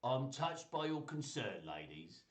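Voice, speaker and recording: A man's voice: medium pitch, big pitch swings, unhurried. A man voicing a lonely, gallant young wrestler in a storybook reading, earnest and sorrowful, with a Cockney accent. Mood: sad, earnest. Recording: roomy echo, distant microphone.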